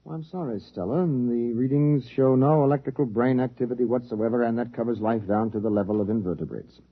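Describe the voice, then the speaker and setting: An actor playing a cautious, wry military biologist in a 1950s radio drama, speaking in a lecturing way. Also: male voice, mid-range voice, brisk, natural voice. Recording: dull vintage 1950s radio recording.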